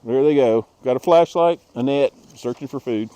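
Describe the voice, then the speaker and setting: A man reading a rhyming children's picture book aloud in an expressive storytelling manner, with short casual asides. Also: mid-range voice, normal speed, smooth, no echo, rough recording. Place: outdoors at a zoo.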